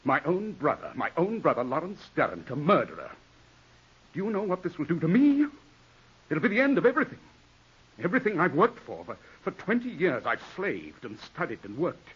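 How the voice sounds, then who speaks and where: An actor playing a middle-aged, domineering English lawyer scolding and lecturing in a 1940s radio drama. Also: male voice, on the high side, very animated, fairly quick, breathy edge, full character voice. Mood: angry, outraged. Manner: forceful, scornful, lecturing. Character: domineering English lawyer, ambitious, exasperated older brother. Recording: dull 1940s radio broadcast recording.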